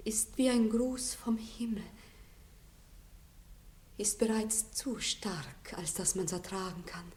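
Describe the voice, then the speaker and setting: An actress playing a pious, innocent young bride-to-be with fervent rapture in a 1960s radio drama. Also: female voice, mid-range voice, slow, long pauses, close to an everyday voice. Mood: hushed rapture. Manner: fervent, solemn, exclamatory to hushed. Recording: 1960s radio recording, faint hiss.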